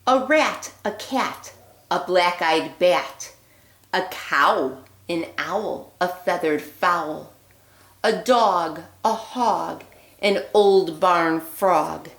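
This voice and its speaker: A woman teacher reading a children's picture book aloud, phrasing deliberately and expressively with emphasis on the rhymes. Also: lowish voice. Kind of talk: read-aloud storytelling for young children. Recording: echoey room.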